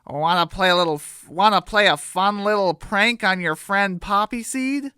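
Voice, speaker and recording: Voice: mid-range voice, normal speed, pushed and bright, clear, resonant tone, no room sound, clean studio sound, pitched above the performer's own voice, lightly acted. A narrator voicing a mischievous male rooster, sly and coaxing, in a cartoon radio drama. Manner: coaxing, conspiratorial.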